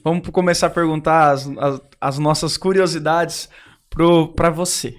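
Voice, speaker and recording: A man's voice: a little high, fairly even intonation, a little slow. A Brazilian man co-hosting a podcast in a casual, chatty way, plugging sponsors and local projects. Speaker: podcast co-host.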